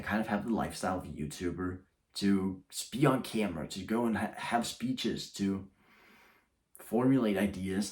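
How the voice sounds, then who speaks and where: A man's voice: lowish voice, a little mellow. A young man talking casually to camera as a YouTuber, rambling and often restarting his sentences.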